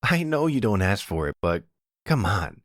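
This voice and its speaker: Guy doing a young mob kid, lighter husky voice, chatty n casual, audio roleplay. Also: on the low side, big pitch swings, normal speed, a bit bright, dry recording, clean studio sound, pitched above the performer's own voice. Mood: teasing concern. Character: young male mob underling, easygoing and talkative.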